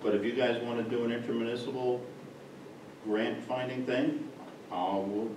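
A man's voice: medium pitch, steady tone, moderate pace. A man on a village board, insistent and rambling as he argues a point at a public meeting. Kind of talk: municipal meeting discussion. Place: meeting room, heard from afar. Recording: echoey room, distant mic, noisy.

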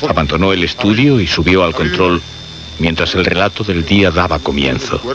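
An actor gives an ominous, literary narrator for a radio suspense play, low and measured. The sound is dull, with a murmur of voices behind him. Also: male voice, a crowd in the background, natural voice. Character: sober, ominous male narrator of suspense tale. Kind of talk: scripted radio drama narration. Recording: dull old radio recording, murmuring background.

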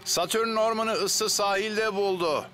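A man's voice: high voice, fairly even intonation, unhurried, sharp tone, soft background music. A man voicing a brave, reassuring firefighter in charge, in a children's cartoon dub. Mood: urgent, commanding. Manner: commanding, reassuring.

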